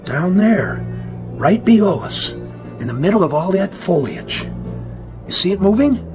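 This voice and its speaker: A fairly high-voiced male flight crewman in a radio drama, uneasy and disbelieving. His intonation moves widely, and music plays clearly behind him. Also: very animated, a little slow, heavily acted. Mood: awed, urgent.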